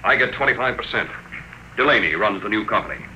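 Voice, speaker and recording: An actor playing a cool, defiant, shrewd captive who stays wry and unflappable in an old-time radio drama. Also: male voice, lowish voice, animated, quick pace, sharp tone, dull audio. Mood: cool bargaining. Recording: vintage radio recording with faint noise.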